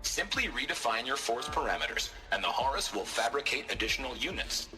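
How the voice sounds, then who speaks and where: A man playing a slick, confident military hardware announcer in a video game, reading a punchy scripted sales pitch. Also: very animated, brisk, over music, natural voice. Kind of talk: scripted promotional narration.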